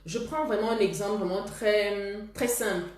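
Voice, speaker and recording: A woman talks earnestly to her viewers, repeating herself and starting phrases over as she thinks. Her voice sits at a middle pitch. The room around her is echoey and roomy. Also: normal speed, a little mellow. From Cameroon. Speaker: host. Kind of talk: casual advice talk to viewers.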